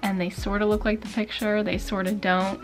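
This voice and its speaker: A woman in her twenties chatting casually to camera in a small bedroom, indecisive and drifting into soft whispers. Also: mid-range voice, little pitch movement, normal speed, music in the background. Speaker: beauty vlogger. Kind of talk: casual chat.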